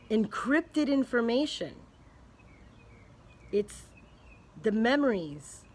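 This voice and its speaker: A woman vlogger thinking aloud in a casual, earnest way, pausing and restarting as she speculates. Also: a little high. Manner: unhurried, thinking aloud with many pauses and restarts. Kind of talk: casual spoken musing to camera.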